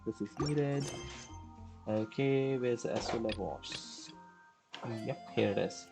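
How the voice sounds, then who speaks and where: Low, gravelly-voiced woman streaming a game. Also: takes it slowly. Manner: relaxed, filler-heavy, thinks aloud. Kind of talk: casual live-stream commentary. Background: game music.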